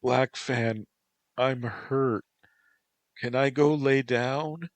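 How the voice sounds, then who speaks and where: A man's voice: medium pitch, fairly even intonation, very slow, no room sound, voice pushed up. A man voicing a frightened, whiny young man who pleads and whimpers. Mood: pleading.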